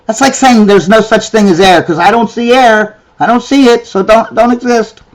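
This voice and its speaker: A man's voice: high voice, moderate pace, low-quality recording. A man giving reaction commentary in a ranting, sarcastic way, often repeating himself.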